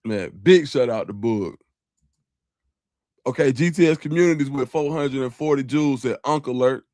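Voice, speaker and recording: A man on a live-stream podcast, relaxed and grateful, thanking supporters in loose slang and calling them dog and bro. He has a mid-range male voice, recorded dry and close. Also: mid-range voice, normal speed, dry recording. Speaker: show host reading super chats. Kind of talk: casual live-stream chat.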